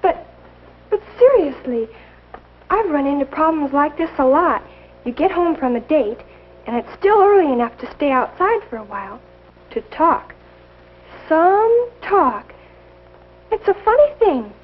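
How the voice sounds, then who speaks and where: An outspoken, teasing teenage girl in an old classroom film. She speaks high and with very lively, pointed intonation. The sound is muffled and dated. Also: unhurried, long pauses, slight background noise. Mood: skeptical. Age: young adult. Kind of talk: 1950s instructional film acting.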